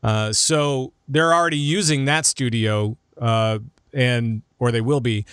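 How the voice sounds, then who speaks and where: A man with a medium-pitched voice chats as a podcast panelist. The talk is informal and conversational, with a close, dry sound. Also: steady tone, a little slow, a bit bright, clear, resonant tone, no echo. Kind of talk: casual chat.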